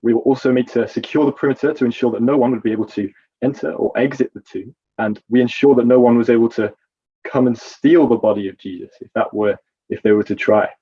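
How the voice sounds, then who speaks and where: A man's voice: medium pitch, fast, dark tone, breathy edge, dull audio, lightly acted. A man playing a nervous, evasive Roman guard corporal testifying in a courtroom drama, hesitant and deferential. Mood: formal, dutiful.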